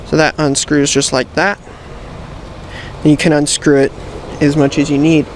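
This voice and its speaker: Higher-pitched man giving a tutorial, noisy background. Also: little pitch movement, normal speed. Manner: plain, methodical instructional delivery. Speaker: presenter of a how-to video. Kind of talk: hands-on tutorial, explaining step by step. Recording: clear background noise, vehicle ambience.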